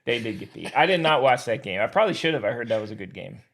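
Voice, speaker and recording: An American man bantering about sports as a podcast co-host. His delivery swings up and down a lot, with a creaky, gravelly edge. He is jokey and slangy, tossing in casual words like a buddy would. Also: medium pitch, animated, quick pace, a bit bright. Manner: jokey, slangy, calls people "man", "dude", "baby".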